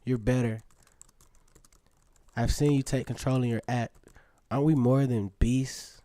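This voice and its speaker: An earnest teenage boy in a radio drama, played by a man, reading love letters in a mellow, soft-edged voice. The sound is dry and close, and the phrasing is poetic. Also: medium pitch, fairly even intonation, unhurried, no room sound, natural voice. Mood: earnest, pleading. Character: earnest lovestruck seventeen-year-old male letter writer.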